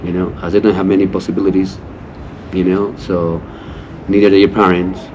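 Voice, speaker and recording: Deep-voiced Peruvian man over background noise. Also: little pitch movement, normal speed. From Cusco, Peru. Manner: repeats "you know" often. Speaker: local partner in conversation. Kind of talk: casual conversational explaining. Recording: clear background ambient noise.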